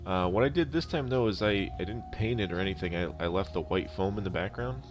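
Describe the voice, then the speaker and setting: A man narrating a DIY prop-building tutorial in a casual, unscripted and halting way. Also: lowish voice, expressive. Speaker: DIY prop-making video host. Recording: instrumental music behind the voice. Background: music.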